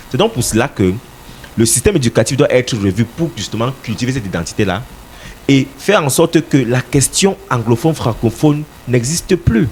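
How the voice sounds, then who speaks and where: A man's voice: mid-range voice, darkish tone, slight background noise. A man from Cameroon, a political party representative answering radio interview questions in an emphatic, insistent way, sometimes repeating words as he searches for them.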